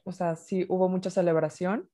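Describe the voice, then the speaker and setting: Woman, young adult, slightly low voice, wry and playful, casual podcast chat. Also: fairly even intonation, normal speed, mellow. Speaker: podcast co-host. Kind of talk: casual chat about racing.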